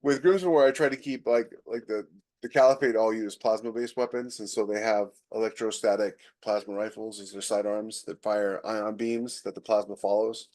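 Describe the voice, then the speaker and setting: Male co-host with a moderately low voice, explaining things informally in relaxed podcast conversation. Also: normal speed. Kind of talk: casual chat.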